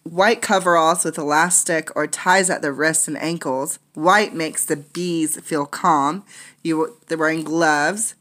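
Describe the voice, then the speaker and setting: Woman, slightly low voice, teacher, children's read-aloud, explanatory, instructive. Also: takes it slowly, a bit bright. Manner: explanatory, pointing things out to young listeners. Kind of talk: interactive read-aloud of a children's nonfiction book with explanatory asides.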